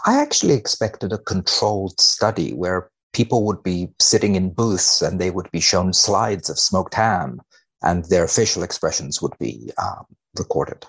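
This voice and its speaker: A man's voice: deep voice, husky. A man speaking as a researcher guest, reading studies aloud and commenting with dry mock seriousness.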